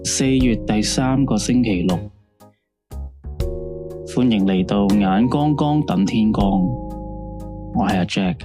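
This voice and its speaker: A man's voice: lowish voice, slow pace, pauses often, over music. A man playing a chatty, easygoing late-night radio host in a radio drama, speaking Hong Kong Cantonese in a playful, conversational way. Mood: cheerful, chatty.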